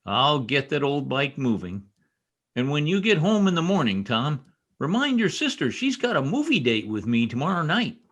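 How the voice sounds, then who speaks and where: A man's voice: fairly high pitch, sing-song, normal speed, fairly bright tone. A man playing an easygoing, teasing state trooper in an old-time radio drama. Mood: matter-of-fact. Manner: teasing, casual.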